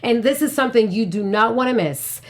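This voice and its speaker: A woman with a high voice talks as a panelist on an aftershow. Her delivery is casual and excitable, full of playful slang. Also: brisk.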